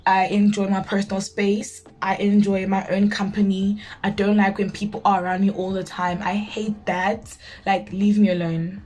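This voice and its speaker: A woman's voice: mid-range voice, little pitch movement, moderate pace, a little mellow. A nineteen-year-old woman chatting casually to viewers as she does her makeup, blunt and emphatic, often repeating herself for emphasis. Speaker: beauty vlogger. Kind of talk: casual chat while doing makeup, answering viewer questions.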